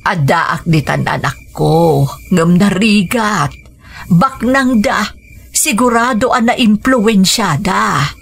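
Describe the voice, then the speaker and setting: A woman playing a caring, humble older mother in a radio drama, gentle, hesitant and fretful in manner. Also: mid-range voice, slow, creaky, husky, full character voice. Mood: worried.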